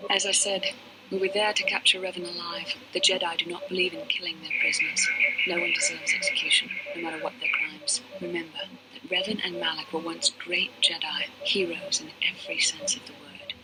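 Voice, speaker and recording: A woman's voice: on the low side, expressive, brisk, background noise, natural voice. A woman playing a proud, earnest young Jedi with guarded feelings in a video game, speaking in a formal, lecturing way. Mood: grave, measured. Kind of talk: dramatic video game dialogue acting.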